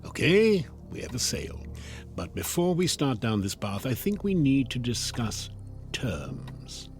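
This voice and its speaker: A man's voice: medium pitch, big pitch swings, measured pace, soft background music. An actor playing a sly, devilish door-to-door salesman in a radio drama, with glib, coaxing, theatrical sales patter. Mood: coaxing, deal-making. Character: sly devilish door-to-door salesman peddling a time-bending clock. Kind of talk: modern radio drama acting.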